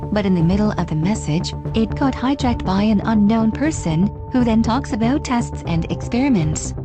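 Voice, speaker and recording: A woman presents a scripted news report as an anchor. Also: medium pitch. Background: prominent music.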